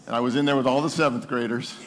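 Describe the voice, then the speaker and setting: A seventy-year-old man preaching with personal testimony, emphatic and animated, repeating phrases for effect. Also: mid-range voice, rapid-fire, slight background noise. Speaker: pastor preaching to his congregation. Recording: long hall reverb.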